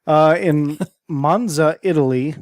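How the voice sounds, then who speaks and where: A man's voice: a little high, slow, darkish tone, no echo, clean studio sound. A man hosting a podcast and reading out a list of strange stories in a casual way, now and then tripping over names; his first language is Greek. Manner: storytelling, stumbles over names and words. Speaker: podcast host presenting a list.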